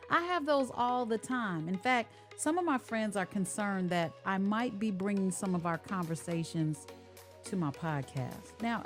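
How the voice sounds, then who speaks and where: A woman hosting a radio show in a casual, chatty way, speaking directly to her listeners. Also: medium pitch. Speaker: radio and podcast show host.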